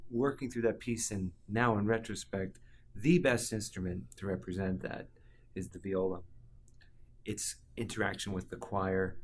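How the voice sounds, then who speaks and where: A man being interviewed conversationally, expressive and deliberate, pausing between phrases. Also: low-pitched. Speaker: interviewed composer. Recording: faint room ambience and noise.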